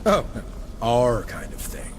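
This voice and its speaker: A wisecracking gunslinger horseman for a video game, lively in pitch and full of flippant, sarcastic swagger. His voice rings with long reverb. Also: slow, slight background noise. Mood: cocky. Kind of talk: cinematic video game acting.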